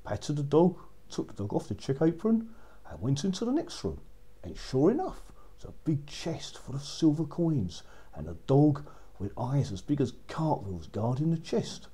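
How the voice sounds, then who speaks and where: Animated, mellow male children's storyteller. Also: a little high, normal speed, breathy edge. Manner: repeats phrases for effect. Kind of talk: animated fairy-tale narration.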